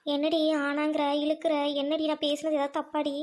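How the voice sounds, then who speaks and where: A hot-tempered older village woman acted by a woman from a village drama. She speaks high and quickly, in a bossy, colloquial, questioning way. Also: completely flat delivery, lightly acted. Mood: defensive. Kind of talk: village comedy-drama acting.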